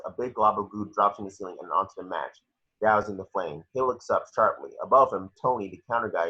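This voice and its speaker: A man's voice: lowish voice, fairly bright tone, husky. A man narrating scripted action descriptions in an amateur table read, on a lo-fi home recording.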